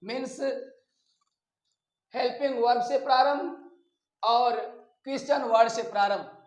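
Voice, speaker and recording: A male teacher explains a lesson in an echoey room. He speaks slowly and deliberately, as if dictating. Examples get repeated and drawn out step by step. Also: very high voice. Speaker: English grammar teacher.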